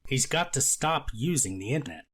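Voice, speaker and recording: Blunt, skeptical judge read by a man, medium pitch. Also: expressive, a bit bright, husky. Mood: exasperated, blunt. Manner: blunt, challenging, halting restarts. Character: skeptical, blunt male judge questioning a lawyer. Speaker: actor reading a judge. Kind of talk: readers theater courtroom transcript reading.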